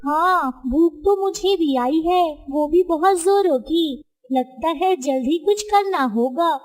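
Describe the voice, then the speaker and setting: Woman voicing a high-voiced sly mother crow. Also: slow pace. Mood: scheming.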